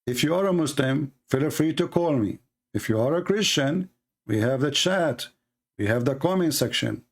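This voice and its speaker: A man's voice: a little high, expressive, moderate pace. A man with a somewhat raised voice hosts a live call-in debate and argues hard. He mocks his opponents with heavy sarcasm. His English is fluent, with non-native grammar. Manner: combative, mocking, sarcastic. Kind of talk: heated live-stream argument.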